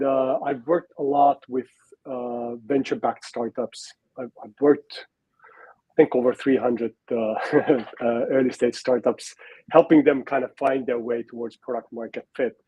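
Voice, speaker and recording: A man's voice: medium pitch, warm tone, husky, no room sound, low-quality recording. A man from Finland talking on a video call as a guest, hesitant with many "uh" and "um" fillers. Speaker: guest expert. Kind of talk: casual interview talk.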